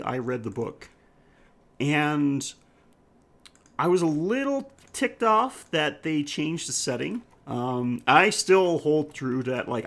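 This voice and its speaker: A man with a mid-pitched voice co-hosts a casual film podcast. He speaks haltingly, thinking aloud and circling back to rephrase his points. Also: fairly bright tone. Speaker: podcast co-host.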